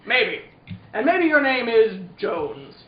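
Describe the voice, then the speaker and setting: A woman's voice: on the high side, big pitch swings, slow pace, a little hiss or hum. A woman playing formal, wary men sparring with arch suspicion in an old-time radio play. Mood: arch, suspicious. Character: suspicious, amused rich man and secretive male stranger. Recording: echoey, dull room recording.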